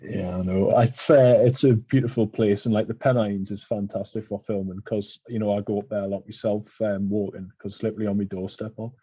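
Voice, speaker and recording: A man's voice: lowish voice, steady tone, brisk, few pauses, dark tone, breathy edge, no room sound, highs cut off. A man with a Geordie accent, hosting a podcast in casual chat and telling long, winding stories full of fillers. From Newcastle, England. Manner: rambling storyteller with many fillers. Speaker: podcast host.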